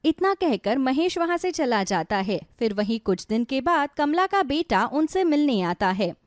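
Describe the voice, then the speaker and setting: A woman's voice: high voice, big pitch swings, a little slow, no room sound, clean studio sound. A woman narrating a scripted moral story as its storyteller.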